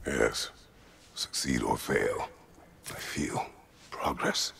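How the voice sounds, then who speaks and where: An actor playing a stern, brooding older warrior god who speaks in terse, formal, grave phrases with a gravelly voice. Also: male voice, extremely low pitch, drawn-out words, fairly bright tone, exaggerated voice. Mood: grave, restrained. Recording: game music behind the dialogue.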